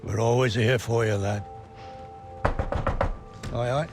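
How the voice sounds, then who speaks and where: A man's voice: mid-range voice, fairly even intonation, slow pace, over music. A man playing an older, protective, plain-spoken grandfather in a video game cutscene. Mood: supportive. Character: protective, wary old grandfather.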